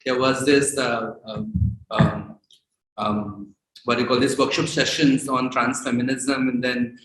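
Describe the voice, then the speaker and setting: A man's voice: mid-range voice, little pitch movement, a little slow, a bit bright. A man giving informal audience feedback, searching for words as he goes. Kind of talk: informal spoken tribute. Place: indoor event space, at a laptop. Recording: echoey room, clearly noisy with clicks.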